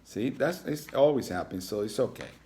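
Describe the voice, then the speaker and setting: Low-voiced man reading a story to children. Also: lively intonation, moderate pace, dark tone. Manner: reassuring, explanatory, speaking to young children. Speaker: teacher reading aloud to schoolchildren. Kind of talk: read-aloud storytelling with informal asides to children.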